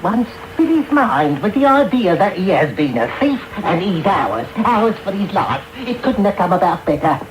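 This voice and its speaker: An actor playing a sly, scheming old master of thieves in a radio drama, with an oily, wheedling, calculating manner. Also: male voice, high voice, sing-song, moderate pace, few pauses. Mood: scheming, gloating. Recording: noisy medium-wave radio broadcast tape.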